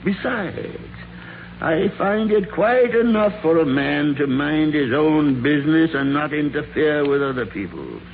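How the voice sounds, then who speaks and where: A crotchety, miserly old man in a 1940s radio drama. His voice sits fairly high and swings wildly in pitch, turning from scorn to stammering bluster. The sound is thin and band-limited, with some hiss. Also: vocal fry, full character voice. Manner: crotchety, stammering when rattled. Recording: scratchy, narrow-band 1940s radio recording.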